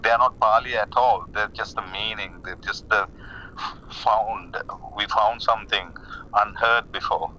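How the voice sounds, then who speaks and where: A man in his forties with a Sri Lankan accent, talking casually and haltingly over a muffled, rumbling phone call. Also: bright, forceful tone. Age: 40s. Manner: hesitant, halting, repeats words. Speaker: caller, lay Buddhist practitioner. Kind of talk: casual conversation about personal spiritual path.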